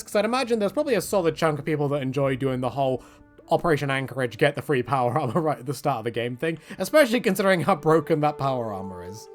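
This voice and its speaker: A man giving casual, opinionated video game commentary in a rambling, joking, self-deprecating and slangy way. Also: fairly high pitch. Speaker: gaming video creator.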